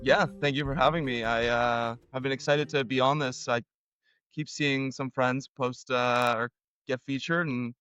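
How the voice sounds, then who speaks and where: A young man from Argentina, a podcast guest telling a story in a relaxed, self-deprecating way with lots of fillers. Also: mid-range voice, a little slow, clear, resonant tone, highs cut off, faint music behind. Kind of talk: casual storytelling.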